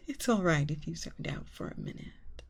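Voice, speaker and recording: A woman playing a seductive, manipulative vampire queen who poses as a therapist in an ASMR roleplay, coaxing and breathy, often slipping into whispers. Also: deep voice, very animated, moderate pace, exaggerated voice. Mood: sweetly professional, coy. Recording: long reverberant echo on voice.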